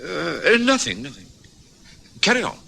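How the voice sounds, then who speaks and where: Radio drama doctor-narrator: genial and courteous gentleman, forceful bright tone, widely varied intonation. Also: on the high side, big pitch swings, normal speed, vocal fry, heavily acted. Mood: startled, hesitant.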